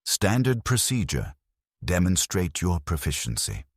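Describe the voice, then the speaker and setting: A pitiless cyborg priest of machines, as a male narrator puts him on, speaks in a very deep, husky voice. He is clipped, clinical and commanding, a cold instructor from an audio sci-fi story. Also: wildly swooping pitch, unhurried, dry recording, studio quality, full character voice.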